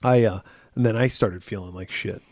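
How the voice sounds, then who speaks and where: A man in his forties, hoarse and raspy after being ill, co-hosting a podcast in casual chat, rambling with fillers and restarts. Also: fairly low pitch, normal speed, darkish tone, no echo, clean studio sound. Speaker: podcast co-host.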